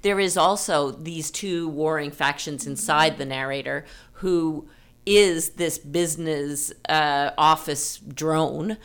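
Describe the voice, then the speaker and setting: A woman with a slightly low voice hosts a literary discussion. She is effusive and works out her thoughts aloud as she goes. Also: fairly even intonation, takes it slowly, smooth. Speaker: podcast host, writer.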